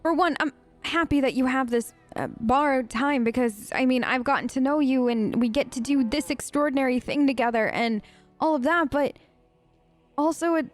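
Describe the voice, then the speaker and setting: A woman plays through a game, improvising replies in character in her own casual voice. It is fairly high, and she is chatty, rambling and playful, with music behind her. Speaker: gaming let's-player. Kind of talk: casual improvised role-play commentary.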